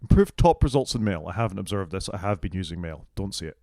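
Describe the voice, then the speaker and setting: A British man co-hosting a casual tech podcast, going through a list of features and giving quick, opinionated takes. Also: low-pitched, animated, gravelly, mellow, dry recording, studio quality. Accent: British English. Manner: fast-talking, opinionated, goes down the list item by item. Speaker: podcast co-host.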